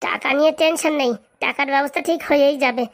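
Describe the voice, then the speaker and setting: A woman's voice: high voice, fast, creaky. A woman voicing a brash, scheming man in a comic cartoon, with blunt banter. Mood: confident, bossy. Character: brash, scheming, hospitable man planning a second wedding.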